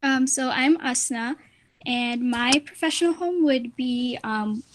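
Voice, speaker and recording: Woman, young adult, raised pitch, informal group introduction. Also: unhurried, noisy background. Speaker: former nonprofit intern.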